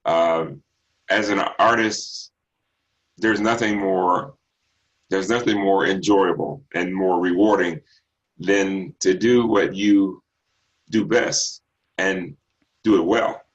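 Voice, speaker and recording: Deep-voiced bass baritone man. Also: fairly even intonation, muffled recording. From Texas. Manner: unhurried, reflective, frequent ums and restarts. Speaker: singer guest. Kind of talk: interview answers.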